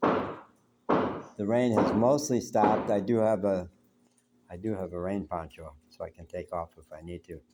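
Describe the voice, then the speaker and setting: A man's voice: sits low, fairly even intonation, a little slow, long pauses, noisy background. An older man from the United States, talking in a reflective, rambling way to camera from his apartment, saying he has a big voice. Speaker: vlogger. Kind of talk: reflective solo talk.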